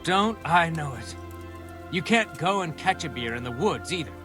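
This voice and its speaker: A man acting a good-humoured, gruff medieval camp quartermaster in a video game, chummy and colloquial. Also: a little high, lively intonation, normal speed, over music, lightly acted. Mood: hearty, rueful.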